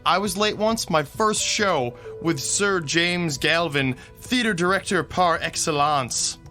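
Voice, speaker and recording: A man's voice: a little high, slow pace, pushed and bright. An actor playing an easygoing young male stagehand who talks in a casual, friendly way in a radio drama. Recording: radio drama with faint music.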